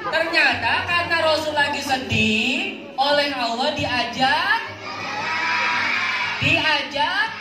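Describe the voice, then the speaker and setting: A woman telling religious stories to children, animated and teacherly, with questions and call-and-response. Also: sits high, slow, pauses often. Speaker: children's storyteller. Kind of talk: interactive religious storytelling for children. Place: outdoor mosque yard event. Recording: echoey PA, distant mic, music and crowd.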